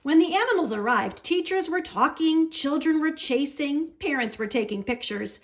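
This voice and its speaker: A woman reading a children's picture book aloud as a storyteller, in an expressive narrating style. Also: high voice, normal speed.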